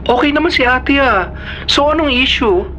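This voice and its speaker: A man's voice: extremely high pitch, lively intonation, normal speed, sharp tone, dull audio, a little hiss or hum, full character voice. An actor playing a practical, skeptical young man in a radio drama, questioning and insistent. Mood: exasperated.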